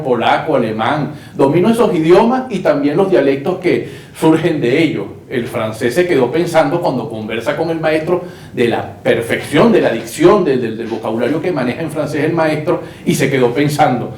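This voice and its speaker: A man, a guest lawyer and writer, telling history in an unhurried way and often repeating words as he searches for them. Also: a little high, expressive, darkish tone. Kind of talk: conversational storytelling. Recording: roomy, distant mic with faint noise.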